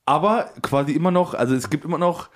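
A man co-hosting a podcast, telling stories casually in a halting, amused, self-correcting way. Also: on the high side. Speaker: podcast co-host. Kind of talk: casual anecdotal chat.

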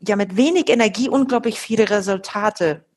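A woman's voice: mid-range voice, brisk, a little mellow, husky. A woman logistician talking through her fieldwork in a podcast conversation, explaining with many fillers and restarts.

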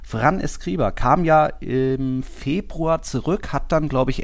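A man's voice: medium pitch, creaky. A man hosting a football podcast, talking conversationally in long, self-interrupting runs. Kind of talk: conversational sports discussion.

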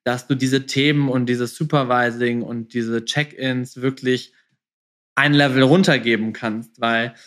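A man's voice: mid-range voice, fairly even intonation, measured pace, a bit bright. A man hosting a casual podcast interview, speaking informally and mixing English business jargon into his sentences.

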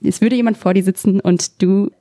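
A woman's voice: medium pitch, fairly even intonation, fairly quick, mellow, no room sound, clean studio sound. A woman hosting an interview podcast, asking long, friendly, rambling questions. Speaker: podcast host.

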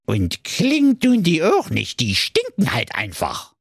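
A man's voice: a little high, huge pitch swings, slow pace, vocal fry, slightly rough, no room sound, clean studio sound, pitched above the performer's own voice, full character voice. A man voicing a grumpy, crafty evil male dwarf in a comic fairy tale, grumbling and sly.

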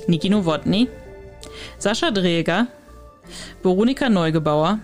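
A woman's voice: on the low side, expressive, unhurried, a little mellow, faint music behind. A woman hosting a casual podcast, reading out names as a quiz and giving short, matter-of-fact answers. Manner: matter-of-fact, terse confirmations. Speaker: podcast host, quizmaster. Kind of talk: casual chat, reading out a name list.

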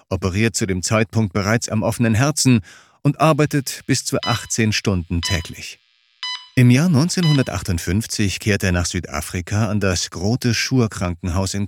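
Male narrator, lower register, measured scripted storytelling for an audio documentary, faint music behind. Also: a little mellow.

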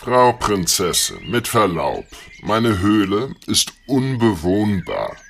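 A man's voice: fairly low pitch, big pitch swings, takes it slowly, creaky, a bit bright, slight background noise, exaggerated voice. A man voicing a politely aggrieved dragon in a German fairy-tale audio drama. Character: grumbling but courteous male dragon seeking peace.

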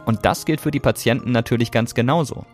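A man's voice: medium pitch, fast talker, a little mellow, soft background music. A man hosting a feature programme, moving between scripted narration and interview questions. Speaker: podcast host.

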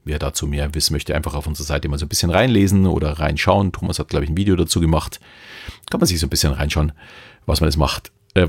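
A man's voice: low-pitched, vocal fry, breathy edge, no room sound, clean studio sound. A man with a Bavarian accent, hosting a podcast on hypnosis, who talks in a loose, chatty way with many fillers. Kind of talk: casual podcast talk.

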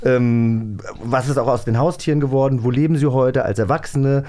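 Male podcast host, medium pitch, chatty and informal, explains things in a slightly halting way. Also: mellow. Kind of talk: casual moderated chat.

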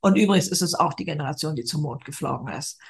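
Gravelly older woman. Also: sits low, fast talker. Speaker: guest. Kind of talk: conversational interview.

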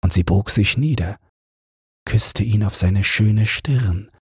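Very breathy, slow male bedtime narrator. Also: lowish voice, dry recording, clean studio sound. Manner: calm, gentle, measured. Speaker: audiobook narrator. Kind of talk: bedtime fairy tale narration.

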